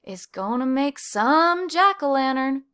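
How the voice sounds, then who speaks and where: A woman voicing an eager, friendly young male field mouse in emphatic, expressive storybook dialogue. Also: high-pitched, slow pace, voice pushed up, heavily acted. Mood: curious, admiring.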